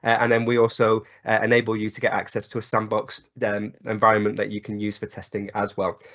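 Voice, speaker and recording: A man with a croaky cold voice presenting a technical webinar, with frequent ums, uhs and restarts. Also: on the low side, completely flat delivery, fairly quick, a bit bright. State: hoarse from a cold. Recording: slightly dull webinar audio.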